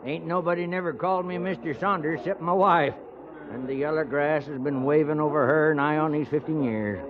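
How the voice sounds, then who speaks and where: An actor playing a folksy, hospitable old stage station keeper, with a rustic Western American drawl in 1950s radio western style. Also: male voice, on the high side, a little fast, background noise, close to an everyday voice. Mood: chatty. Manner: rustic drawl, chuckling, colloquial. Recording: dull old radio recording.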